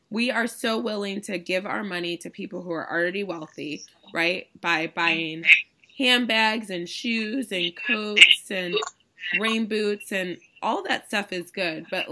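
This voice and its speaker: A woman hosting a podcast in casual, chatty conversation, her voice raspy and hoarse from a recent illness. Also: medium pitch, bright, forceful tone. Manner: chatty, laughing easily, enthusiastic. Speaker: podcast host. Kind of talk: casual chat.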